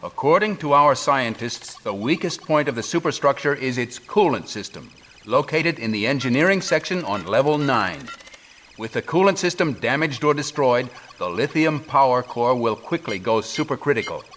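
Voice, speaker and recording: A man's voice: mid-range voice, expressive, normal speed, a bit bright, a little hiss or hum, lightly acted. A man acting a grave middle-aged military commander. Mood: firm. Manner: formal, urgent military briefing. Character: grave middle-aged male resistance commander in a sci-fi war. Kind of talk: scripted game cutscene acting.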